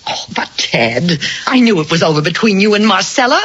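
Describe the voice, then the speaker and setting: An actress playing a sensitive, anxious wife in an old-time radio drama, speaking earnestly and with hesitation. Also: female voice, mid-range voice, very animated, a little fast, a bit bright, husky. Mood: pleading, earnest. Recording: muffled, noisy old radio broadcast.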